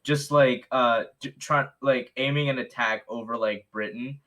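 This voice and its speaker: A man's voice: medium pitch, fairly even intonation, unhurried, pushed and bright. A man in a casual podcast chat, telling a story with many fillers and giggles.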